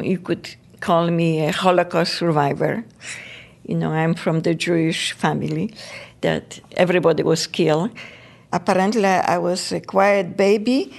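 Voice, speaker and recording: A woman's voice: lowish voice, vocal fry. An older woman from Poland, a retired grandmother, telling her life story in an interview with a cheerful, laughing manner. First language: Polish. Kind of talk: personal storytelling.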